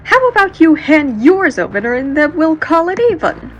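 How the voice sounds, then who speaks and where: A woman's voice: high voice, very animated, lo-fi sound, soft background music. A woman voicing a haughty, villainous sorceress in an audio drama, taunting and indignant. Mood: gloating. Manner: taunting, drawn-out delivery.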